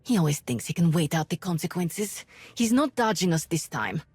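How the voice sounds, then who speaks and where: A woman voicing a fed-up, impatient townsperson in a video game, blunt and animated. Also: fairly low pitch, moderate pace, slightly rough, no echo. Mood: indignant.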